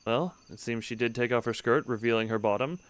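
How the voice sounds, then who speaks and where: A man's voice: mid-range voice, fairly quick, clean tone. A man streaming a story game, reading the text aloud and adding wry asides, often breaking off mid-sentence and restarting, close to the mic. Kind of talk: let's-play commentary and reading aloud.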